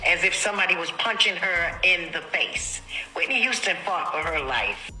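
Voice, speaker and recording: Super forceful sharp woman, insistent testimony, echoey room sound, faint music. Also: fairly low pitch, lively intonation, moderate pace. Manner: emphatic, insistent, repeats phrases. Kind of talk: impassioned spoken testimony.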